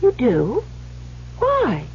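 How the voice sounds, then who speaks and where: Anxious, high-voiced young wife. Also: female voice, huge pitch swings, very slow, a little hiss or hum, exaggerated voice. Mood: nervous cover-up. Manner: nervous, hesitant. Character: lovely young wife, anxious, torn, secretive. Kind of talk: old-time radio drama acting. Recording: muffled old radio recording.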